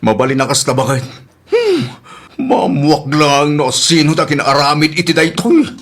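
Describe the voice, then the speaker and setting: A man's voice: on the high side, big pitch swings, moderate pace. A man playing a grumbling husband in a domestic comedy audio drama, talking conversationally. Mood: aggrieved. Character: grumbling husband, aggrieved motorbike owner.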